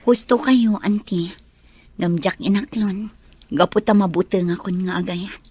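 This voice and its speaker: A young woman playing a soft-spoken teacher who is wary of love in a radio drama. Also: mid-range voice, steady tone, unhurried, slightly rough, dry recording. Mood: guarded.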